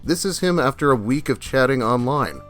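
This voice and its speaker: A man's voice: medium pitch, lively intonation, moderate pace, fairly bright tone, faint music behind. A man hosting a casual commentary video on online posts, with a wry, self-deprecating way of talking. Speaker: host, video creator.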